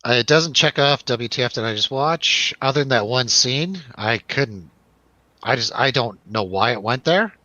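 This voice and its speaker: A man's voice: medium pitch, expressive, normal speed, bright, forceful tone, no echo, highs cut off. A man hosting a casual movie review chat, joking and irreverent in the way he talks. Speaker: host of a movie review show.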